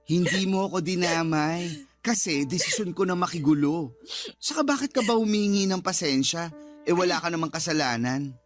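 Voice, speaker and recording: Actress as a sassy, protective young Filipina cousin. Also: female voice, lowish voice, normal speed, close to an everyday voice. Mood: firm, protective. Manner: sassy, slangy, emphatic, indignant. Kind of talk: Tagalog radio drama acting. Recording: music bed under voice.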